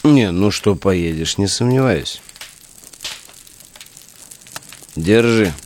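An actor in a modern audio drama playing a cynical, bossy relic digger, a slangy and commanding drinking buddy. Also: male voice, on the low side, expressive, measured pace, darkish tone, a little hiss or hum. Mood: teasing, tipsy.

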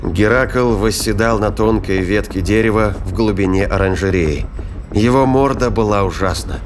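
A man giving scripted dramatic narration of a science fiction thriller in a deliberate, suspenseful manner. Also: lowish voice, slight background noise. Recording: music behind the voice.

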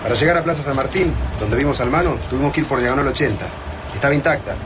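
Fast-talking Argentine working man, an actor's role. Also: lightly acted. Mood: matter-of-fact. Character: plain-spoken, grumbling male mechanic turned fighter. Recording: noisy outdoor rumble behind dialogue.

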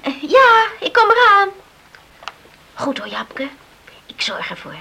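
An actress playing an obliging, affectionate young confidante in a radio drama. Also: female voice, very high voice, wildly swooping pitch, slow, gravelly, pushed and bright, heavily acted. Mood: helpful, tender.

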